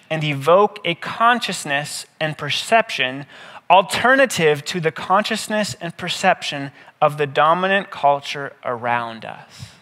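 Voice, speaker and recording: A man in his thirties, a pastor giving a measured, scripted sermon with deliberate phrasing. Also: a little high, pushed and bright. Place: indoor stage, seated at a table. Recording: reverberant hall.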